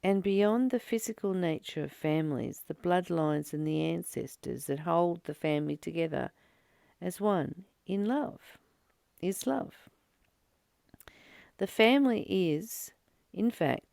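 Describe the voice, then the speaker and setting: A woman reading a spiritual study text aloud and explaining it informally, in a halting, reflective way with pauses between short phrases. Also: sits low, lively intonation, gravelly, a little mellow, no room sound. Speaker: spiritual study reader and commentator. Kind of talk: slow read-aloud study with informal commentary.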